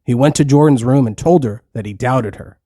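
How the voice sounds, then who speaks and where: A man narrates a children's story in a plain storytelling manner. His voice sits at a middle pitch, and the recording is close and dry, with no room around it. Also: a little fast, mellow, no room sound.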